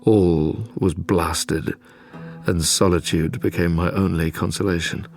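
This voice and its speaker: An actor playing a tormented, guilt-ridden young British gentleman scientist in an audio drama, speaking gravely and with literary care. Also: deep voice, steady tone, takes it slowly, soft background music, heavily acted. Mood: grief-stricken. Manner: grave, brooding, literary delivery. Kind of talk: audio drama narration and dialogue.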